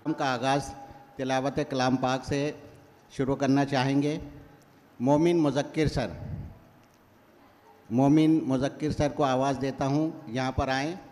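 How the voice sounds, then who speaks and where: A man's voice: mid-range voice, fairly even intonation, darkish tone. An older man acting as emcee at a school celebration, introducing guests in a formal, courteous tone at a podium mic. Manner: measured, courteous, reads from notes. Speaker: event emcee. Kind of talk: formal Urdu stage announcing. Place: large hall, at a podium. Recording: reverberant hall.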